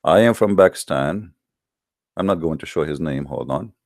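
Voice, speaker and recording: A man's voice: a bass voice, lively intonation, moderate pace, darkish tone, dry recording, studio quality. A man with a non-native English accent hosting an online religious call-in show, speaking informally in a challenging, confrontational manner. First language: not English. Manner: challenging, confrontational, with rhetorical questions. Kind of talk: informal polemical monologue to live online audience.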